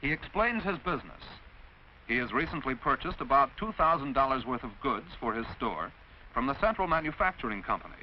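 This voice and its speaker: An American man narrates in the manner of a mid-century instructional film. His medium-pitched voice moves with lively intonation as he explains in a measured, carefully enunciated, didactic way. The old film soundtrack is dull, with faint noise.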